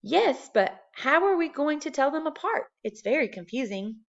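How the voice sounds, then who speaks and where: A woman's voice: sits high, very animated, normal speed, gravelly, voice pushed up, heavily acted. A fretful storybook housewife, played by a woman reader, practical and skeptical, with mild exasperation in her words. The sound is slightly dull, like a home recording. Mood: puzzled.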